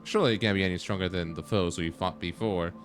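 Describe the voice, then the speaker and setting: A man's voice: low voice, steady tone, fast. A man giving casual live commentary on a video game, with dry, wry asides. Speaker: streamer. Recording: game music behind the voice. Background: game music.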